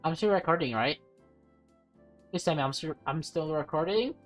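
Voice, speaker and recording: A woman doing a casual let's-play, reading a visual novel aloud haltingly, with stumbles, self-corrections and offhand commentary. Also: sits low, faint music behind.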